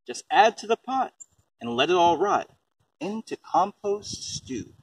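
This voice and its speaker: A man's voice: sits high, sing-song, unhurried, breathy edge. A man reading a picture book aloud and chatting casually, explaining things simply for a small child. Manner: simple explanations and questions aimed at a young child. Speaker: storybook reader and parent explaining composting. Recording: distant microphone, faint background noise.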